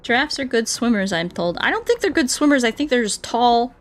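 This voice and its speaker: A woman doing a casual gaming livestream, rambling playfully and thinking out loud as she chats with viewers. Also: on the high side, very animated, fairly quick. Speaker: streamer playing Minecraft and chatting with viewers.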